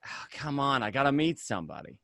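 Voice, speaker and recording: A man's voice: medium pitch, fairly quick, vocal fry, no room sound, clean studio sound, full character voice. A man playing a talkative, edgy gangster in a radio drama, with a conversational way of talking. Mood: exasperated. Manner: animated, conversational, repeats himself. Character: talkative, edgy male gangster recounting and scheming. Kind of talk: film script read as radio drama.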